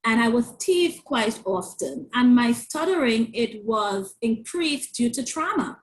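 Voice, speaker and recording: A woman with a stutter and a lisp talks as an interview guest in a fairly high voice. She speaks earnestly and often repeats a word as she restarts. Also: expressive, a little slow, low-quality recording. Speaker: author and parent coach. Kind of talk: interview guest sharing her story.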